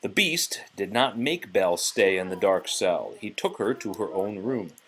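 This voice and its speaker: Animated man reading a storybook aloud. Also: lowish voice, moderate pace. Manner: expressive, prompting the child with questions. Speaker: storybook reader to a child. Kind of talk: children's read-aloud narration.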